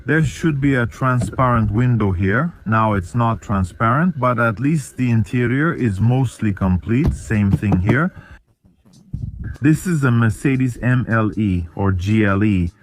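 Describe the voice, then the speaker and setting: A man's voice: medium pitch, animated, a little slow, slight background noise. A man walking viewers through a car in a plain, matter-of-fact explanation. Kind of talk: explanatory walkthrough.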